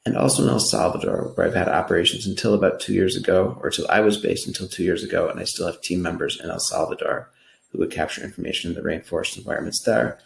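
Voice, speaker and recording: An American man from New York giving an earnest, explanatory slide presentation pitch in an echoing room. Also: quick pace, creaky. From New York, United States. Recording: roomy, echoing voice recording.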